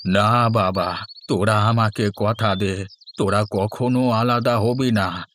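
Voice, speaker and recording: A man voicing a dying old father in a cartoon story, pleading slowly and solemnly with his sons. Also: fairly low pitch, steady tone, fairly bright tone, slight background noise. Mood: weak, pleading. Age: older.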